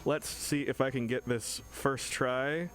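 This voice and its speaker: A man's voice: mid-range voice, steady tone, takes it slowly, fairly bright tone, slight background noise. A man hosting a radio show in a casual, joking and self-deprecating way, speaking into a studio microphone. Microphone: studio microphone with headphones.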